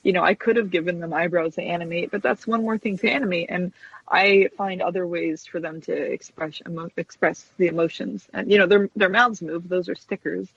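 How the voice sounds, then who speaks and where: A woman's voice: fairly low pitch, fairly even intonation, a little fast, creaky, slightly rough, no echo. A chatty woman guest, an animator explaining her craft casually in an interview over dull web audio. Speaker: guest stop-motion animator and puppet maker.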